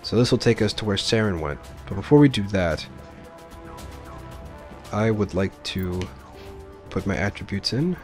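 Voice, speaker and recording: Guy doing a chill let's play, kinda low voice, explains stuff as he goes, bit of music behind. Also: animated, normal speed, pauses often, darkish tone. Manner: informal, explains game choices as he plays. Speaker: gaming video host. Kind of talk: casual let's play commentary.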